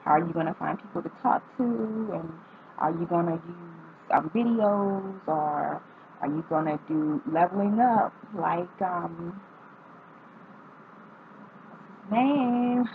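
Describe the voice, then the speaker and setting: A woman in her twenties from North Carolina chats through a list of questions for her vlog, reading each aloud before answering with easy ums. Her voice sits a little low. The audio is thin and tinny, like a phone line, with a faint hiss behind it. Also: slow pace. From North Carolina, United States. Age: 20s. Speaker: vlogger. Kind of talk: casual answering of tag questions.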